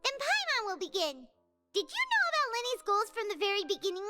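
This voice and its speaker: A woman voicing a tiny childlike fairy companion in a video game, squeaky, pert and blunt. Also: huge pitch swings, quick pace, over loud music, exaggerated voice. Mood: inquisitive. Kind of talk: scripted video game voice acting.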